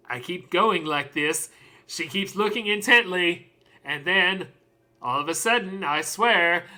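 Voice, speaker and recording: Lady doing a doting dad monologue, slow n dramatic w big pauses, real bright punchy voice. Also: lowish voice. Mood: awed, tender. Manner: animated storytelling, dramatic pauses, drawn-out emphasis. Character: doting, excitable parent of a small girl, called Daddy.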